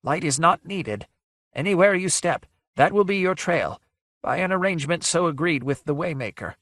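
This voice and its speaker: A man acts out a mournful phantom from a fantasy storybook, soft and breathless, lifted in pitch. The speech is ornate and old-fashioned, full of quavers and sighs, with menace beneath the sorrow. The recording is dry and close. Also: expressive, normal speed, dry recording. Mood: eerie, beckoning. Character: sad ghostly abstraction of terror, breathless and menacing.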